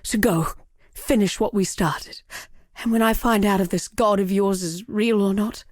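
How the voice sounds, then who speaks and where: An actress playing a proud, sardonic villainess and world-weary killer in a video game, speaking in a theatrical, measured way. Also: female voice, medium pitch, no echo, clean studio sound, natural voice. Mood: defiant, wry. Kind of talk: dramatic scripted game dialogue.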